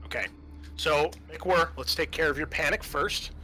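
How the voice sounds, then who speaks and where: Bright-voiced man as sweary game master. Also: on the high side, moderate pace, a little hiss or hum. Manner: casual, sweary. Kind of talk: running a tabletop roleplaying game.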